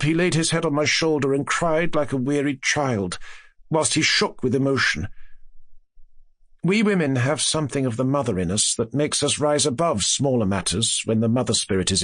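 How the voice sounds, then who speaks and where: A man reading classic gothic fiction as an audiobook narrator, in a measured, expressive, literary way. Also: medium pitch, no room sound, clean studio sound.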